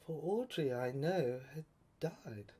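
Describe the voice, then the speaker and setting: Slow, breathy woman storyteller, theatrical and halting. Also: sits low, lively intonation, warm tone. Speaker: narrator of a serial audio play. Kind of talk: dramatic first-person storytelling.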